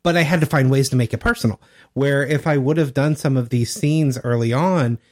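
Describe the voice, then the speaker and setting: Mid-pitched man chatting about gaming. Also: brisk. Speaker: podcast co-host and game master. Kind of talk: casual chat about running games.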